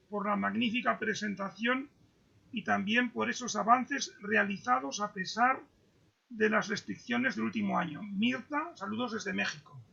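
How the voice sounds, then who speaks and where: High gravelly guy hosting a zoom q&a, husky, muffled lo-fi sound, keeps stumbling. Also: sharp tone. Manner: halting, stumbles and repeats words. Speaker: moderator reading audience questions. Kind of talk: online Q&A moderation. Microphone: video call. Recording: dull lo-fi video call audio.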